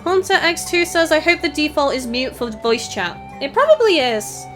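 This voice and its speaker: Woman, high voice, Scouse accent with Welsh touch, casual game streamer, raised projecting delivery, faint music behind. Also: big pitch swings, moderate pace, fairly bright tone. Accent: Northwest English Scouse with a touch of Welsh. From Northwest England. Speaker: livestream host, gamer. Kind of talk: casual live gaming commentary, reading out chat. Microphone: desktop USB microphone.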